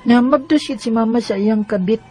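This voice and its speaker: A woman's voice: on the high side, brisk, loud music behind the voice, lightly acted. A woman playing a naive, worried child who asks plaintive questions in a radio drama, with a Bisaya Filipino accent. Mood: shocked, troubled. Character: naive, worried child confiding family secrets.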